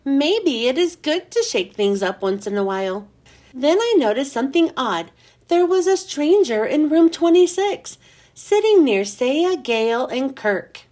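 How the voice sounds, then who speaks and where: A woman reading a children's chapter book aloud in an expressive storytelling manner. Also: sits high, measured pace. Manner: expressive, sing-song storytelling.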